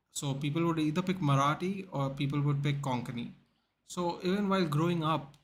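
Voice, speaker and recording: A young man from Goa with an Indian English accent hosts a relaxed livestream, answering viewers by name in loose, rambling chat. His voice sits at an ordinary middle pitch, and there is a faint hiss of noise behind him. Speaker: livestream host. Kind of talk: casual livestream chat reading out viewer comments.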